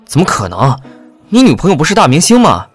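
Actor as an incredulous, wildly animated young colleague. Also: male voice, on the high side, brisk, husky, soft background music, full character voice. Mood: astonished, teasing. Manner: incredulous, questioning. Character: astonished, nosy young male colleague, blunt and joking. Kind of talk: audiobook drama acting.

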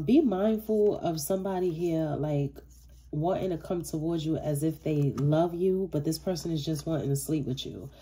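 A woman's voice: low voice, warm tone, slightly rough. A woman with a stuffy, congested voice giving a casual tarot reading in loose, slangy, blunt talk that turns profane. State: stuffy from allergies and a cold. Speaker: tarot reader.

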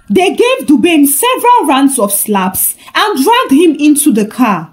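A woman narrates a scripted story in a high voice. Her intonation is very lively, moving widely up and down as she tells it. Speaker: storyteller and channel host.

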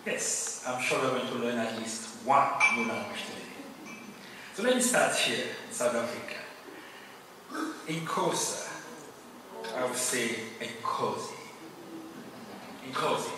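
A man from Cameroon, a native Yemba speaker, gives a formal acceptance speech, earnest and grateful, pausing often. His mid-pitched voice rings through a large hall, picked up from a distance with a lingering reverb and steady room noise. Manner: earnest, grateful, measured with pauses. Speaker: award recipient giving acceptance speech. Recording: echoey hall, distant mic, noisy.

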